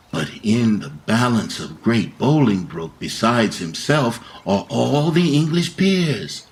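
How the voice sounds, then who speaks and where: Slow, solemn gardener. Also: male voice, medium pitch, a little mellow. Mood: apologetic, firm. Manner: measured, solemn verse delivery. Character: grave, sorrowful, philosophical royal gardener. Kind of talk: Shakespearean radio play acting.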